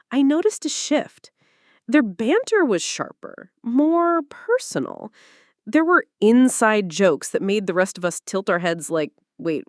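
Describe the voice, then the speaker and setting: Expressive woman narrating a confessional story. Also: a little high, no room sound, clean studio sound. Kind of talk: dramatic first-person story reading.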